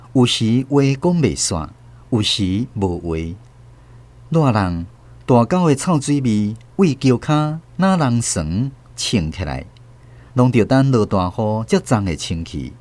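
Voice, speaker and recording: A man with a Taiwanese accent reading literary narration for a radio drama, in an expressive storytelling manner. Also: on the low side.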